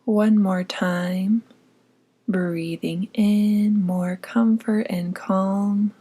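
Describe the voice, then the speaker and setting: A woman narrating a guided meditation in a soft, breathy voice, with a soothing, gently instructing manner. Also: medium pitch, fairly even intonation, very slow. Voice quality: soft, breathy, often near whisper.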